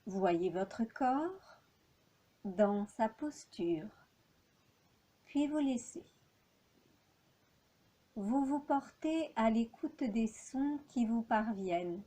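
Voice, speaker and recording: A woman instructs a guided relaxation in a calm, measured way. Her voice sits fairly high yet has a mellow, relaxed tone. She speaks extremely slowly, leaving long pauses between short instructions. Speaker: yoga nidra instructor.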